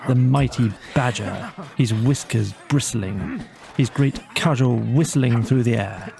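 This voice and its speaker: Unhurried, gravelly male storyteller. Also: medium pitch, darkish tone. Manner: dramatic, measured storytelling. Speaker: audiobook narrator. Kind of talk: scripted bedtime storybook narration.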